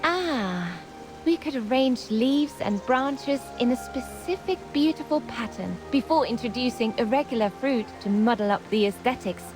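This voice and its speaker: A reverent young woman guardian acted by a woman talks in a fairly high voice. Her manner is earnest and formally respectful, in fantasy game style. Music and rain sit behind her. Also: expressive, moderate pace, natural voice, rough recording. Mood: reverent, resolved. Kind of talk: scripted fantasy game voice acting.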